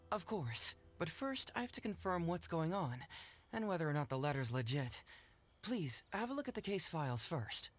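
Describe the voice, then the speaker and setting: A man's voice: on the high side, very animated, moderate pace, fairly bright tone. An actor playing a clever, confident young male detective in a video game, articulate and earnest. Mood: businesslike. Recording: game music behind.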